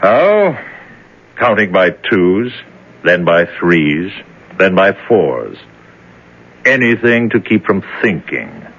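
An actor playing a calm, cold man in charge of a dark cell, speaking in a detached, matter-of-fact way, in a 1970s radio drama. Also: low-pitched, slow, creaky, long pauses, slightly rough, heavily acted. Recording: dull old radio recording.

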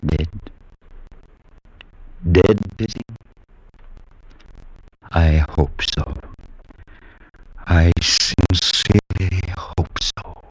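An actor playing a grave, concerned priest in a 1940s radio horror drama, speaking in a measured, dramatic way on a scratchy old recording. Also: male voice, deep voice, fairly even intonation, slightly rough, exaggerated voice. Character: grave priest, concerned friend and horrified narrator of the tale.

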